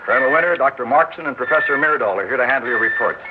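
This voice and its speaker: An actor playing a commanding army general who barks orders in an old radio drama. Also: male voice, medium pitch, expressive, rapid-fire, close to an everyday voice. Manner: barking orders, brusque. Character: commanding male army general, gruff and blustering. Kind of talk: 1960s radio drama acting. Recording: tinny old radio broadcast with hiss.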